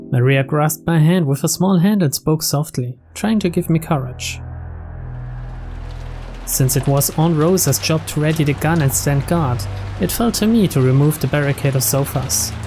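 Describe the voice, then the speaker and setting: Higher-pitched male narrator, background music. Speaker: narrator reading game text aloud. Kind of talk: scripted narration.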